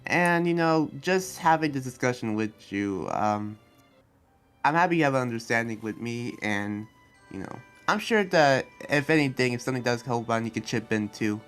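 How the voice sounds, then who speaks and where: A man's voice: medium pitch, animated, a bit bright, smooth, close to an everyday voice. A man playing an earnest town-guarding demon slayer in an improvised anime game roleplay, talking in a hesitant, rambling way. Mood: earnest, musing. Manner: rambling, hesitant, halting explanations. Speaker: roleplay player. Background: background music.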